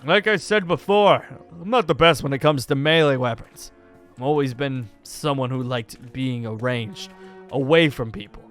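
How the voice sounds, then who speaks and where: A man plays a wry, laid-back gunslinger in a Minecraft roleplay. He talks casually and practically at a medium pitch. Faint music plays behind him. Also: normal speed, a bit bright, close to an everyday voice. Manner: casual, matter-of-fact. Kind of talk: improvised Minecraft fantasy roleplay acting.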